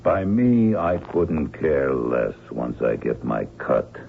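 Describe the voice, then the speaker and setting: Cynical hijacker heavy: rock-bottom voice, blunt folksy slang, tinny vintage radio sound. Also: male voice, a bass voice, big pitch swings, slow pace, gravelly, full character voice. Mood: coolly cynical. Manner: folksy, blunt, slangy tough-guy drawling grammar. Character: tough, cynical hired gunman taking charge of a hijacking. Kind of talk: old-time radio drama acting. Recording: tinny band-limited old radio recording, faint noise.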